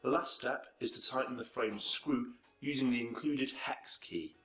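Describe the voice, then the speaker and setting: A man's voice: mid-range voice, normal speed, bright, forceful tone, faint music behind. A man reading scripted narration for an instructional video tutorial.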